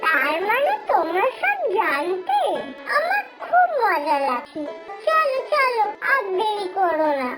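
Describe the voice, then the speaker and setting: An excitable young wife in a cartoon, full of teasing, played by a woman. Her voice is extremely high and swings widely, in slow drawn-out phrases. Loud music runs underneath. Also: exaggerated voice, rough recording. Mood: excited. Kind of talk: cartoon voice acting.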